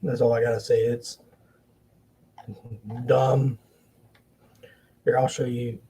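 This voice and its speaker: A man hosting a wrestling podcast, giving blunt, profane opinions in casual commentary, with halting restarts. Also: mid-range voice, flat delivery, mellow, breathy edge.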